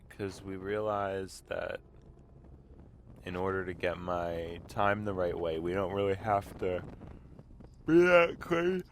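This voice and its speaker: A man's voice: low voice, fairly bright tone, a little hiss or hum. Congested man rambling in a car. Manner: rambling, with frequent throat clearing. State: congested, slightly sick voice. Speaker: vlog host. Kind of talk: casual talk while driving. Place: inside a car.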